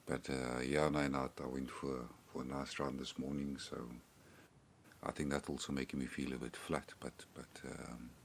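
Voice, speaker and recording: A man's voice: a bass voice, flat delivery, normal speed, gravelly, a little hiss or hum. A man from South Africa with a South African accent, chatting casually on a podcast in a rambling way, often repeating words. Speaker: podcast guest and co-host. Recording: remote call.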